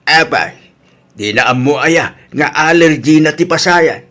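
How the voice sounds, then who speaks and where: A man's voice: a little high, expressive, a little slow, sharp tone. A man acting an earnest grown family man who reproves and pleads, in an Ilocano radio drama. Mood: angry.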